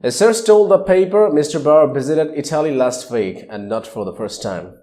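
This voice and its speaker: A man's voice: on the high side, expressive, normal speed, dark tone. A man reading scripted news narration as a news reader.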